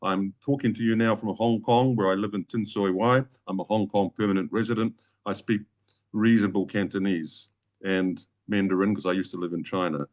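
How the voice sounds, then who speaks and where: Measured Māori-speaking New man. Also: lowish voice, steady tone, a little mellow, lo-fi sound. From New Zealand. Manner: measured, with hesitant fillers and restarts. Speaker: reader at online reading. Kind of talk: reading his own flash fiction, with spoken introductions. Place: home in Hong Kong. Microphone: video call.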